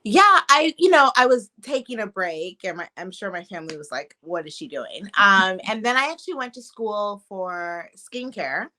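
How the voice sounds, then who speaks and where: Bright-toned chatty woman guest. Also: medium pitch, moderate pace, hardly any pauses, rough recording. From Trinidad, raised in Canada and the US. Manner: lively, laughing, chatty. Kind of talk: casual interview chat. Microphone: video call.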